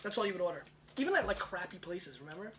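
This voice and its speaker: A man's voice: high voice, big pitch swings, noisy background. A man in his thirties hosting a wine tasting show, brash and joking as he chats. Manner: brash, rapid-fire, joking. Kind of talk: casual tasting chat.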